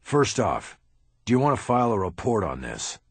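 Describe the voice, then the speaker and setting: A man voicing a gruff, tough middle-aged police detective who talks bluntly and curses. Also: fairly low pitch, huge pitch swings, normal speed, no echo, heavily acted. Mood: stern. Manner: blunt, curses, barks orders. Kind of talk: audiobook character reading.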